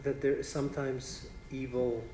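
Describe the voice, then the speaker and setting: A man's voice: mid-range voice, flat delivery, moderate pace, warm tone, echoey room, a little hiss or hum. A man whose first language is Polish, a philosophy professor answering audience questions after a lecture, thinking aloud and often checking in with "right?". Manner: thinks aloud, restarts phrases, frequent "right?".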